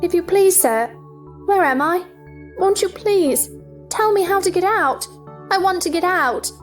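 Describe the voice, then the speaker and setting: Grown woman doing a sweet-mannered little girl, super high and lively, polite and puzzled, storybook play. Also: unhurried, soft background music. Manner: polite, earnest, wondering. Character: polite, curious, bewildered little girl of seven. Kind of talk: theatrical audio drama acting.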